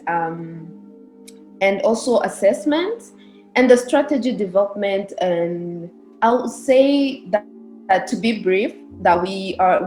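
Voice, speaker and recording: A woman's voice: medium pitch, a little mellow. A young woman from Rwanda, a guest on a podcast, answering earnestly and explaining her views at length. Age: young adult. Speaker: podcast guest, research specialist. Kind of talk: casual interview answers. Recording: echoey room.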